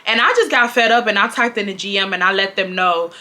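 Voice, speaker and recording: Animated bright-voiced woman. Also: medium pitch, fast. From Houston, Texas. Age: 20s. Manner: animated, dramatic, slangy, acts out shouting. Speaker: vlogger. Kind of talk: casual storytime.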